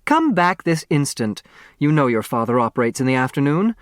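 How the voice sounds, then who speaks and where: A man's voice: mid-range voice, sing-song, fairly quick, no echo, clean studio sound, voice pushed up, natural voice. A man voicing a snobbish, fussy middle-aged mother in an audiobook, with a haughty manner. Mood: scolding. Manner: haughty, scolding, gushing.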